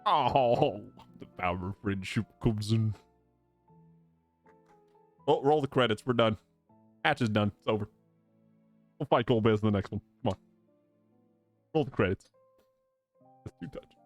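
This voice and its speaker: A man's voice: lowish voice, lively intonation, a little slow, pauses often, no room sound. A man streaming a video game playthrough with casual, slangy, teasing commentary. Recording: game music behind voice. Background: game music.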